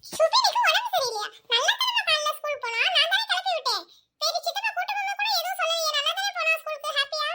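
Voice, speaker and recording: A woman's voice: very high voice, lively intonation, fast talker, pushed and bright, exaggerated voice. A woman voicing a caring college-age daughter who soothes her mother. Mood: concerned. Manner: coaxing, soothing.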